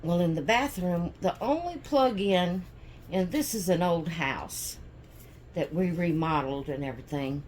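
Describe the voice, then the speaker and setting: A hoarse woman rambles through a casual home vlog at an unhurried, drawn-out pace. Her voice sits a little low, and she circles back with fillers and restarts. A faint hum of room ambience sits behind her. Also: darkish tone. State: hoarse from sneezing. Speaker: doll channel vlogger. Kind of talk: casual chat. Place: at home indoors.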